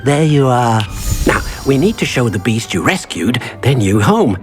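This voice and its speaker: Little house elf guy, a man doing it, third-person talk, all over the place pitch-wise, faint music behind. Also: big pitch swings, moderate pace, close to an everyday voice. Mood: helpful. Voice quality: talks of himself in third person. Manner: eager, fussy and polite. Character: small eager male house elf. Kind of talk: video game voice acting.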